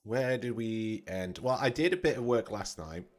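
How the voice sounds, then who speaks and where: A man with a slightly croaky, scratchy throat, casually thinking aloud as he streams a tutorial, with fillers and restarts. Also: medium pitch, lively intonation, normal speed. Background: soft background music.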